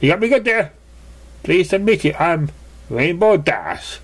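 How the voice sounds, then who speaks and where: A reader acting out a cocky young female pegasus from a cartoon fan story, pitched above normal talk. She is brash and boastful, tossing out exclamations. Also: sing-song, measured pace. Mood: impressed.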